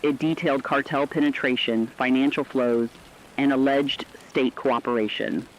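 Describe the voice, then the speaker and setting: A man's voice: medium pitch, fairly even intonation, moderate pace, a little hiss or hum, close to an everyday voice, low-quality recording. A man playing a serious radio correspondent, giving a scripted emergency war report with formal, emphatic, clipped phrasing. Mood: serious, urgent.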